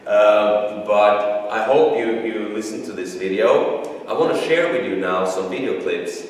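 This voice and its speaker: A man's voice: lowish voice, a little slow, slight background noise. A middle-aged man with a Norwegian accent, a martial arts instructor, giving an earnest, emotional video tribute with frequent restarts in a studio. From Norway. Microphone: camera at a distance. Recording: echoey room, far from mic.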